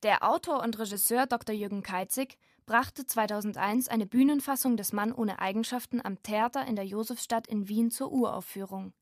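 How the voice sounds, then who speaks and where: A woman with a slightly high voice narrates from a script in documentary style. The sound is dry, with no room around it. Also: normal speed, hardly any pauses, no echo. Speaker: programme narrator.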